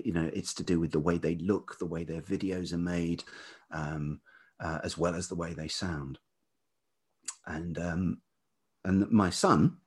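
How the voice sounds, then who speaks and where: Deep-voiced, slightly husky British man. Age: middle-aged. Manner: hesitant, searching for words. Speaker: guest, writer and teacher. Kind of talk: reflective interview conversation.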